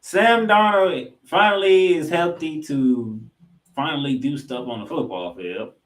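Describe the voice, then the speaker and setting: A man's voice: on the high side, measured pace, a bit bright. A man co-hosting a sports podcast, giving football recaps with emphatic, announcer-like delivery of scores and stats mixed with casual banter.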